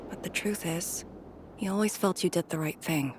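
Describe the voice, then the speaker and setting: A woman playing a battle-hardened female soldier in a video game, with a grave, measured and earnest delivery. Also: on the low side, noisy background, lightly acted. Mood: solemn, earnest.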